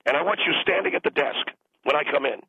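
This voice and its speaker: A man calling in with a hoarse, strained throat, indignantly scolding the host in a comic rant. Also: on the high side, lively intonation, quick pace. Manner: indignant, scolding, mock outrage. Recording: tinny phone line.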